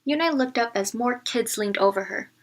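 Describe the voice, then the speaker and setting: A woman teacher reading a picture book aloud, narrating and pausing to explain it to young listeners. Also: on the high side.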